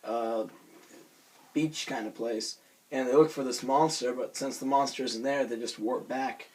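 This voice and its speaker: Hoarse, raspy young man vlogging. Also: mid-range voice, fairly even intonation, unhurried, darkish tone, low-quality recording. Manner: self-conscious, rambling with many fillers and restarts. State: hoarse from screaming. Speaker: vlogger reviewing a cartoon episode. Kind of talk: casual rambling vlog.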